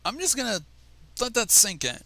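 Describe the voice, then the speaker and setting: A man's voice: very high voice, big pitch swings, moderate pace, creaky, sharp tone. A man hosting a reading of fanfiction, breaking off for sarcastic, profane asides. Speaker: fanfiction reader and livestream host. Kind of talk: dramatic reading with mocking asides.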